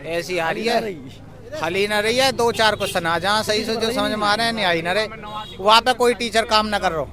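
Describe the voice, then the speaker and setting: A man's voice: high-pitched, fairly quick. A young man, an exam candidate giving a plain-spoken, complaining informal review. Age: young adult. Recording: busy outdoor street, crowd and traffic noise.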